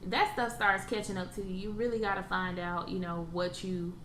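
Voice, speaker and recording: A woman's voice: mid-range voice, flat delivery, normal speed, fairly bright tone. A young adult woman who is a podcast guest, speaking as a blunt, opinionated guest who swears casually. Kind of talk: casual chat. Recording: echoey room, faint ambient noise.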